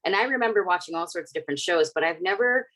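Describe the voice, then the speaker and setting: A woman's voice: on the low side, lively intonation, quick pace, fairly bright tone, low-quality recording. A woman in her forties, a podcast co-host asking long, rambling questions with many fillers. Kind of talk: casual interview questions.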